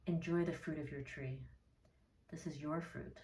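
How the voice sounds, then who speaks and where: A woman narrating a guided meditation in a soothing, measured way, leaving gentle pauses. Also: low-pitched, steady tone, slight background noise. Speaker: therapist leading a guided meditation. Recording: roomy echo, distant from mic.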